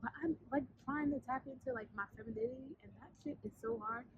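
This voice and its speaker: A woman, chatting bluntly and animatedly in a casual vlog, a little raspy from sinus trouble, filmed outdoors on a city street by a camera on a tripod. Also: high-pitched, quick pace. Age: 20s. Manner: blunt, animated, swears freely. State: sinus congestion, slightly raspy. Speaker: vlogger. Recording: dull street recording with city noise.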